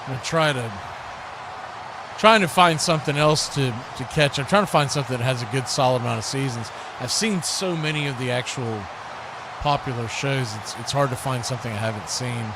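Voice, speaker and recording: A man's voice: mid-range voice, a little fast, noisy background. A man hosting a live football stream, mixing play-by-play commentary with casual chat in folksy, colloquial phrasing.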